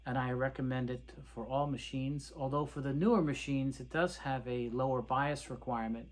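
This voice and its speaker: A man presenting an informal, unscripted product showcase, explaining things in a halting, hesitant way with repeated words. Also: medium pitch, fairly even intonation. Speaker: presenter of reel tape review videos.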